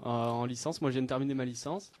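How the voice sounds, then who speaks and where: A man's voice: mid-range voice, fairly even intonation, fast, darkish tone, dry recording. A man in his twenties, a music student in a band, answering in a blunt, offhand, joking way. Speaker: band member, guitarist. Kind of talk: casual interview answers.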